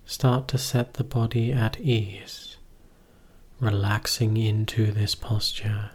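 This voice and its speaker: A man guides a meditation in a low, slightly rough and husky voice. He speaks very slowly, in short, measured phrases with space left between them. His delivery is gentle and instructional. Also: steady tone. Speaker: meditation teacher.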